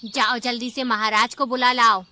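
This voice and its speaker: A woman's voice: a little high, flat delivery, moderate pace, bright, forceful tone, slight background noise. A woman voicing a wise, watchful auntie bird in a children's cartoon, speaking in a firm and reassuring way. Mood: urgent, firm. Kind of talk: Hindi children's cartoon voice acting.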